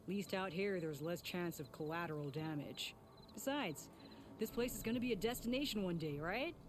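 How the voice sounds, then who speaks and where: A woman's voice: lowish voice, lively intonation, moderate pace, background noise, close to an everyday voice. An actress playing a worried, guilt-ridden female tinkerer in a video game, speaking in an earnest, wry, conversational way. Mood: sheepish, wry. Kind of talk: video game character acting. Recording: faint music behind the voice.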